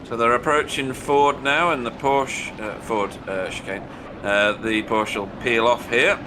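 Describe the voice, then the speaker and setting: A man's voice: medium pitch, fairly even intonation, measured pace, background noise. A man doing chatty, joking live commentary on a sim race. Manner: chatty, self-mocking, joking.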